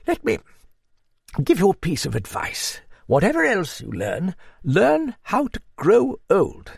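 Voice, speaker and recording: Casting: man playing a gruff old dame, blustery and hearty, swooping lively pitch, gravelly edge. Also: a little slow, no room sound, studio quality, exaggerated voice. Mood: confiding. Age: older. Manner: blustery, hearty, muttering asides. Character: gruff hearty old woman, blunt and motherly. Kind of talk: audiobook character voice.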